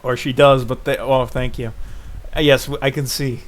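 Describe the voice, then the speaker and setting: A man's voice: medium pitch, steady tone, normal speed. A man casually reading a visual novel aloud in the first person, breaking off into joking asides. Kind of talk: casual game read-along, narrating in first person.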